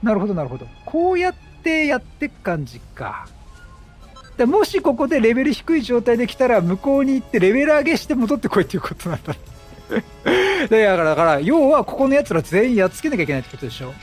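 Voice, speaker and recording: A man giving excitable, chatty video game commentary, exclaiming often and playfully mimicking the characters. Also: extremely high pitch, quick pace, faint music behind. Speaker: gameplay streamer.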